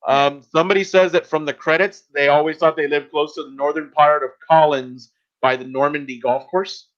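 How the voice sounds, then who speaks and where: A man's voice: on the high side, steady tone, moderate pace, fairly bright tone. A man hosting a podcast in casual, conversational chat. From Miami, Florida. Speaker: podcast host. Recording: dull, band-limited podcast audio.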